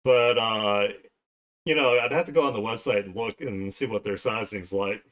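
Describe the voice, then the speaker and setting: A man's voice: lowish voice, moderate pace, a bit bright. A man talking casually to viewers on an art livestream, rambling along as he works. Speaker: art streamer hosting a livestream. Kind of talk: casual livestream chat while drawing.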